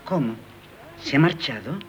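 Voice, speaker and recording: A woman's voice: a bass voice, lively intonation, unhurried, slight background noise. An actress with a Spain Spanish accent playing an indignant, domineering older mother in a 1970s radio drama. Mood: suspicious. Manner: imperious, reproachful, theatrical. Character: indignant, domineering older mother disapproving of her son-in-law. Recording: muffled 1978 radio broadcast recording.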